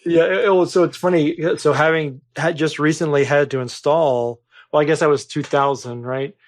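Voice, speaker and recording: A man's voice: a little high, steady tone, moderate pace. A man hosting a casual podcast interview, loose and easygoing, using "kinda" and "you know" as he steers the talk. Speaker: podcast host.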